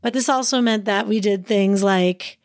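A woman hosting her own podcast, talking alone in an upbeat, chatty way, with a slightly raspy voice and frequent laughs. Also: medium pitch, normal speed, dry recording, clean studio sound. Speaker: podcast host. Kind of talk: solo podcast monologue.

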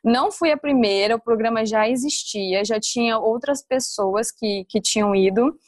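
Young woman podcast guest, fairly high voice, dry close sound, caipira interior São Paulo accent, casual storytelling. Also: moderate pace, no room sound. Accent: rural interior São Paulo Brazilian (caipira). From interior of São Paulo state, Brazil.

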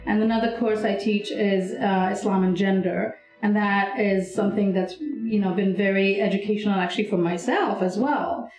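A woman's voice: mid-range voice, fairly even intonation, darkish tone, roomy sound, faint music behind, rough recording. A middle-aged woman, a guest telling her story in a chatty interview. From Pakistan. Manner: fast, chatty, mixes Urdu and English. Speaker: guest, anthropology professor. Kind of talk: interview conversation.

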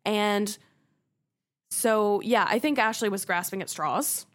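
A woman's voice: mid-range voice, slow pace. Frank woman recapping reality TV. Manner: frank, opinionated, filler-heavy. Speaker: podcast co-host. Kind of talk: casual recap chat.

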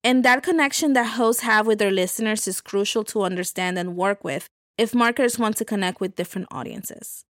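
A woman's voice: mid-range voice, a little fast, few pauses, dry recording, studio quality. A woman hosting a podcast, reading scripted narration that links interview clips. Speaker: podcast host.

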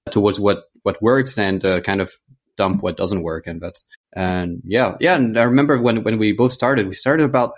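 A man in his thirties from France talks casually and at length as a guest in a conversation, growing animated as he tells stories. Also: fairly low pitch. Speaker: interview guest.

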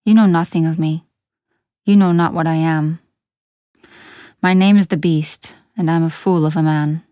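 A woman voicing a towering, bitter man in a storybook, with a gruff growl and brusque, old-fashioned, foreboding speech. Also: low-pitched, fairly even intonation, moderate pace, dark tone, no room sound, clean studio sound, close to an everyday voice. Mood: sorrowful, stern.

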